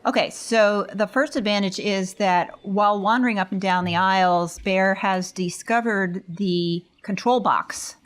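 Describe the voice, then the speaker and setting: A woman's voice: mid-range voice, fairly even intonation, measured pace, few pauses. A woman with a mid-range voice speaks as a player at a tabletop game. She casually describes what her character does and finds.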